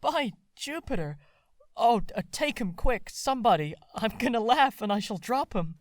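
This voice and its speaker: An excitable, animated young gentleman. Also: extremely high pitch, normal speed. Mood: amazed, delighted. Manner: stammering, exclamatory. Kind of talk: audio drama acting of a period novel.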